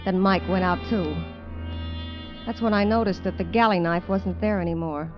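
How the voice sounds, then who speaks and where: An actress playing a weary, candid dancer adrift on a derelict ship in 1950s radio drama style, talking in a hesitant, low-key way. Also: female voice, fairly even intonation, normal speed, lightly acted. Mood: grave, narrating. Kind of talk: 1950s radio drama acting and first-person narration. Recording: dull vintage radio, music behind.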